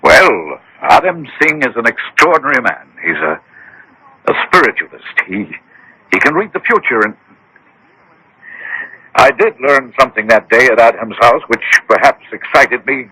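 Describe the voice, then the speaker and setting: A man's voice: mid-range voice, sing-song, normal speed, sharp tone, slightly rough, exaggerated voice, low-quality recording. An actor playing a wealthy, anxious, defensive man obsessed with the occult, insistent and dramatic, in radio drama style. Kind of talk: 1980s radio drama acting. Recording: old radio drama broadcast.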